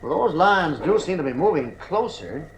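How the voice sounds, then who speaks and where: A man's voice: on the high side, very animated, normal speed. An actor playing a rational, dismissive husband and father in a 1950s radio drama. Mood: intrigued. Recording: vintage radio recording, faint hiss.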